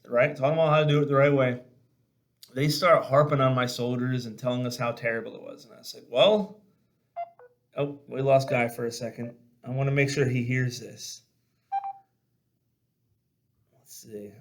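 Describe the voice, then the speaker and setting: Male veteran host, mid-pitched, casual and blunt storyteller who acts out both sides of a conversation. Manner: animated, blunt, salty-tongued, acting out dialogue. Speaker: podcast host and army veteran. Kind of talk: casual storytelling on a video chat.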